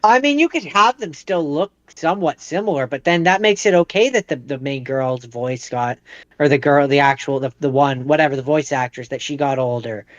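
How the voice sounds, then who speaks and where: A man co-hosting a podcast in casual banter, haltingly repeating himself as he argues his opinions. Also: a little high, animated, no room sound, muffled recording. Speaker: podcast co-host.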